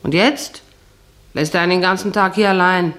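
An actress playing a sensual, dreamy, plain-spoken wife who muses to herself in a German radio drama monologue. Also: female voice, lowish voice, normal speed, a bit bright, close to an everyday voice. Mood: scornful, reminiscing. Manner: musing, intimate, talking to herself.

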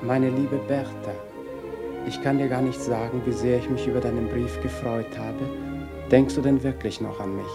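A man's voice: medium pitch, fairly even intonation, normal speed, lightly acted. An actor playing an affectionate, charming old flame, tenderly reading out his letter in a radio play. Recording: prominent music under the voice.

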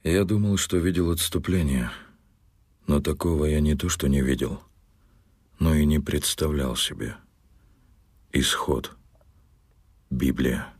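A man reading as a very deep solemn reporter. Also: a little slow, pauses often, a little mellow, husky, dry recording, clean studio sound, heavily acted.

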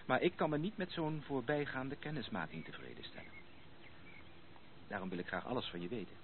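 An actor playing a courteous, earnest young gentleman in a Dutch radio play, formal and politely insistent. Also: medium pitch, expressive, a little fast, close to an everyday voice. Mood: earnest, coaxing. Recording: noisy, thin phone-like old radio recording.

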